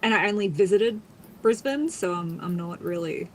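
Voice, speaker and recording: A woman's voice: on the low side, lively intonation, normal speed, slight background noise. Woman in casual, blunt chat.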